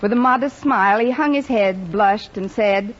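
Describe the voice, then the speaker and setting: A woman's voice: mid-range voice, moderate pace, natural voice. A woman playing a wry, wisecracking American schoolteacher in a vintage radio comedy. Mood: dryly amused. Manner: dry, sardonic. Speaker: lead actress and narrator. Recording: noisy muffled old radio recording.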